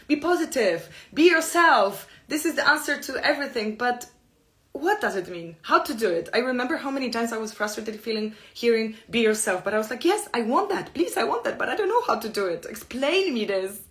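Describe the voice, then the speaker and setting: A middle-aged woman from Poland, whose first language is Polish, speaking English with a Polish accent. She gives a personal self-help talk in an earnest, open way, in slow and careful phrases. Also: fairly high pitch, animated, fairly bright tone.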